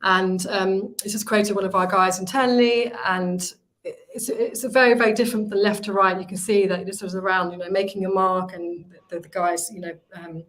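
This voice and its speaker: A woman's voice: medium pitch, fairly even intonation, moderate pace, a bit bright, low-quality recording. A woman, presenting her recruitment company on a video call, talking freely with many fillers and restarts.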